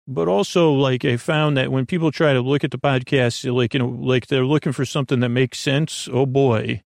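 Creaky voiced guy doing a sleep podcast, wanders around, trips over his own sentences, super dry sound. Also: medium pitch, fairly even intonation, no echo. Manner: meandering, halting, self-interrupting, repetitive. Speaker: podcast host. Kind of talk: rambling bedtime podcast monologue.

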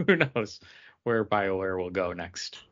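A man's voice: low voice, animated, slow pace, lo-fi sound. A man co-hosting a podcast, musing casually in an easygoing way. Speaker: podcast co-host. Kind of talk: casual chat.

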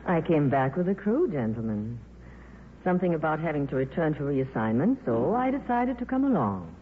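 Worldly female film star, plain-spoken and loyal, very lively intonation, thin vintage radio sound. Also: deep voice, moderate pace. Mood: frank, concerned. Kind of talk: 1950s radio drama acting. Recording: tinny, noisy 1950s radio broadcast.

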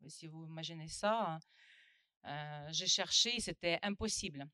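A woman's voice: sits low, measured pace, bright, forceful tone, smooth, dry recording, clean studio sound. A woman from Ukraine, Russian her first language, speaking at a round-table discussion, hesitant with many fillers and restarts. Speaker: panelist. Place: conference room.

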